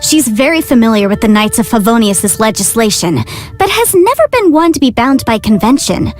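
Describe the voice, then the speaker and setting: Game role: young female legal adviser, high register, very animated delivery, self-assured, articulate, proud and chatty. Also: moderate pace. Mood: fond, reflective. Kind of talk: scripted video game character monologue. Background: music.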